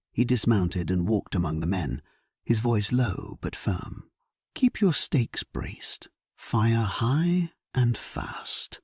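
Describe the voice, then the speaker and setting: A man playing a solemn, resolute English warrior king, narrating his battle in a measured, stately way for an audio drama. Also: medium pitch, husky, no echo, clean studio sound, exaggerated voice. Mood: resolute, rousing. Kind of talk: dramatic scripted first-person narration, sleep story.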